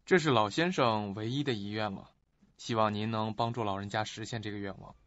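An actor playing a polite, matter-of-fact lawyer in a radio drama, courteous, measured and formal. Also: male voice, lowish voice, fairly bright tone, no echo, close to an everyday voice. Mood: deferential. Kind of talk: Mandarin radio drama acting. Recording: dull lo-fi recording.

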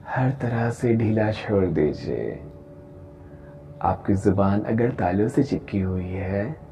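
A man leading a guided meditation in a calm, gentle, deliberate way, with long pauses between phrases. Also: on the low side, creaky, muffled recording. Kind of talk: guided meditation, slow soothing instruction. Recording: voice over background music. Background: meditation music.

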